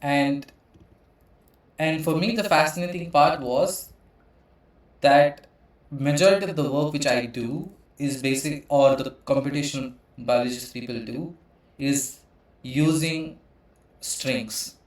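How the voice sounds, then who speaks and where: A man from India in his thirties, hosting his own channel and walking through slides on computational biology, pausing often with uh. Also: fairly high pitch. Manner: hesitant, frequent uh fillers. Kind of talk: explaining with slides. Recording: echoey room.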